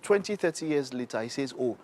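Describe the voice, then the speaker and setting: A man hosting a studio interview, lively and quick to chime in with agreement. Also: mid-range voice, a little hiss or hum. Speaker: talk show host.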